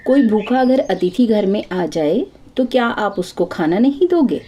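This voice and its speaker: A storyteller voicing an earnest, mellow young daughter-in-law. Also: female voice, mid-range voice, expressive, unhurried, slightly rough, highs cut off. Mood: earnest, respectful. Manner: polite, earnest, persuasive.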